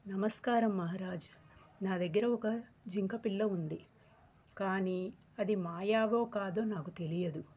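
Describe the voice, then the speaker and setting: Woman voicing a husky, subdued humble villager. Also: medium pitch, a little slow, darkish tone. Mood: humble, firm. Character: humble, stubbornly devoted poor village man. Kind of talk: acted cartoon story dialogue.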